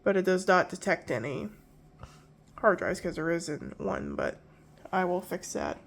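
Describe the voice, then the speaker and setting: A young woman hosts a relaxed tech video, her voice nasal from pollen allergies. She talks in a loose, rambling way, doubling back to correct herself and apologize as she goes. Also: fairly low pitch, measured pace, vocal fry, slight background noise. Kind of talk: casual hardware walkthrough.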